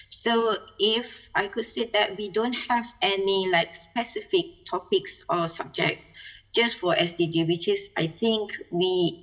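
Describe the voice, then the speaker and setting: Woman lecturer, mid pitch, careful and measured. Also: fairly bright tone, rough recording. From Malaysia. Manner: measured, searching for words. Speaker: interior design lecturer. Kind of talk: interview answers.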